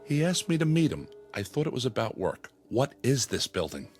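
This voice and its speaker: A man's voice: medium pitch, sing-song, moderate pace, darkish tone, faint music behind, natural voice. A man playing a wary, law-abiding office worker in an audio drama, hesitant and full of suspicion. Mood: suspicious.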